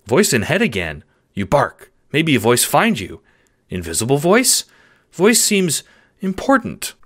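Man playing an excitable, simple-minded dog, swooping pitch. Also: a little slow, slightly rough, exaggerated voice. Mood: eager, puzzled. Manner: broken baby-talk sentences, thinking aloud with barks.

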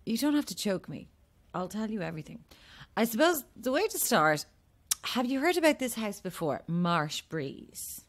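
A woman's voice: mid-range voice, big pitch swings, normal speed. An actress playing a macabre, blithely unhinged woman in a horror-comedy audio drama, arch and morbidly flippant.

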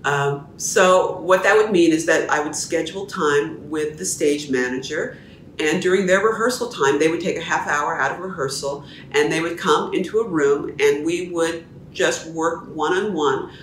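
A middle-aged woman, a dialect coach, explaining her process to camera in a measured, teacherly way from a small room at home. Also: deep voice, distant mic, slight background noise. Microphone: camera at a distance. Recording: echoey room, voice a little off-mic.

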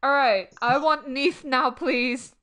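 A woman's voice: high-pitched, fairly even intonation, slow pace, a bit bright, clean tone. A young woman streaming a game, chatting casually with a friend, giggly and playful. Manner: giggly, playful, sings bits of songs. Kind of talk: casual gaming chat with a friend. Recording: game music playing under the voice.

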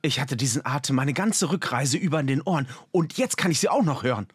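A man's voice: medium pitch, expressive, fairly quick, slightly rough, dry recording, voice pushed up. A man voicing an anxious, urgent male herald in audiobook dialogue, speaking insistently. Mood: shaken.

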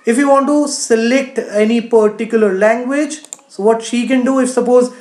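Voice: mid-range voice, moderate pace, darkish tone, reverberant. A teacher narrating a screen-share tutorial in an explanatory way, often saying "you know". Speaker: coding teacher.

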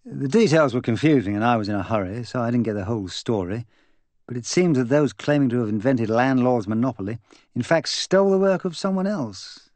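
Man reading as a gossipy young gentleman, dry sound. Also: mid-range voice, no room sound, close to an everyday voice.

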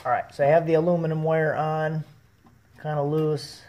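A young man talking through a welding project in his home garage, relaxed and thinking aloud as he works, recorded on a camera mic that drifts near and far. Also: fairly high pitch, normal speed. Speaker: DIY welding vlogger. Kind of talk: casual how-to narration. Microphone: camera mic, moving between close and far.

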